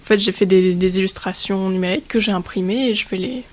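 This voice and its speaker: A woman's voice: medium pitch, fast. A woman, a guest digital artist, answering radio interview questions casually.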